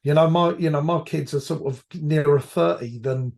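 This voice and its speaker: A man, hoarse from a cold, a guest reminiscing about his years as a firefighter in rambling, self-correcting talk. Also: a little high, normal speed, darkish tone. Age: 50s. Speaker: podcast guest, retired firefighter. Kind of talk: reflective conversational storytelling.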